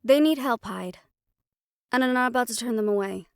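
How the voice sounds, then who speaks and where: A woman playing a calm, protective householder who befriends ghosts, gently firm and reassuring, in an audio roleplay drama. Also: fairly high pitch, moderate pace, dry recording, clean studio sound, close to an everyday voice.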